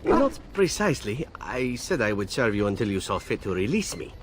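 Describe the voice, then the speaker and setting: Suave, very lilting assassin. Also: male voice, on the low side, big pitch swings, normal speed, heavy background noise. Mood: polite, probing. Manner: smooth, playful, courteous. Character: suave, flirtatious male elf assassin. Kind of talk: video game dialogue acting. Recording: loud game music behind.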